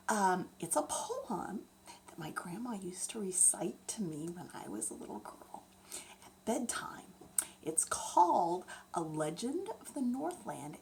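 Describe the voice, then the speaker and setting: An older woman tells a bedtime story in verse to children, with a breathy, often hushed, expressive delivery. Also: fairly low pitch, slight background noise, poor audio.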